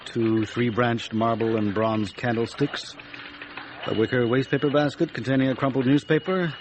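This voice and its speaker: A man plays a dutiful police detective in a radio play, with a mid-pitched voice. He is matter-of-fact and precise, deferring to his superior. Clear noise runs behind him. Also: steady tone, normal speed, natural voice. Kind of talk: radio drama acting.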